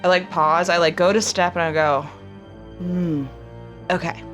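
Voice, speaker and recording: A woman's voice: fairly low pitch, level tone, a little slow, a bit bright. A woman casually improvising as a player in a tabletop roleplaying game, describing the moves of a character. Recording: music laid under the voice.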